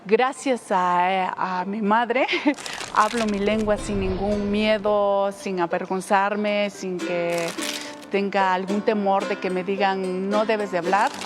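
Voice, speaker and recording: A woman speaking in a documentary interview, heartfelt and a little halting. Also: medium pitch, fairly even intonation. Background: music.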